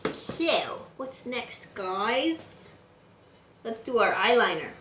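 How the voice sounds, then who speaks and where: Raspy-voiced woman chatting through makeup steps. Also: on the high side, drawn-out words, reverberant, a little hiss or hum. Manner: chatty, relaxed and instructive, with frequent fillers. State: raspy voice today. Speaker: beauty vlogger. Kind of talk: makeup tutorial talk. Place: room at home.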